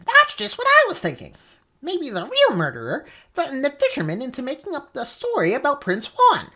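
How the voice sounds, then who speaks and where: Woman doing a very high, eager sidekick. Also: wildly swooping pitch, fairly quick, bright, forceful tone, exaggerated voice. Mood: curious.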